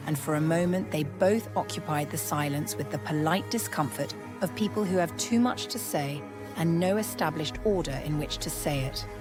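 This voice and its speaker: A woman narrates a piece of fiction in a low voice. Her delivery is measured and literary. Music plays clearly behind her. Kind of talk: scripted story narration.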